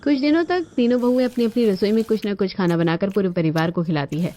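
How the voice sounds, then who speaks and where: A woman's voice: mid-range voice, quick pace, warm tone. A woman narrating a scripted story as a storyteller. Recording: band-limited audio with music behind.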